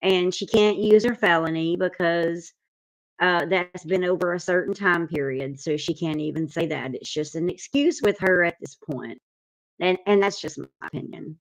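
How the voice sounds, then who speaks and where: A woman's voice: fairly low pitch, normal speed, low-quality recording. A woman giving her opinion casually as a panelist in an informal discussion.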